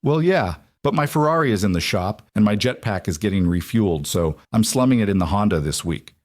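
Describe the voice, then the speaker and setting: A man's voice: fairly low pitch, animated, fairly quick, dry recording, studio quality, close to an everyday voice. A man voicing a goofy, chatty male office neighbor who rambles and cracks jokes. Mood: jokey.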